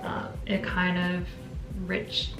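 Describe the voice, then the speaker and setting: A woman's voice: on the low side, monotone, slow pace. A young woman from Korea hosting a casual relationship Q&A, answering thoughtfully and reading viewer questions aloud. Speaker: channel host. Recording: echoey room with background music.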